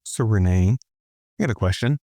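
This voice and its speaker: A man's voice: lowish voice, expressive, moderate pace, dark tone, dry recording, studio quality. A man co-hosting a podcast, earnestly asking the guest a candid question. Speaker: podcast co-host.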